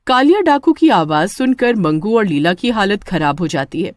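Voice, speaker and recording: A woman narrating a scripted story as an expressive storyteller. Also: mid-range voice, normal speed, dry recording.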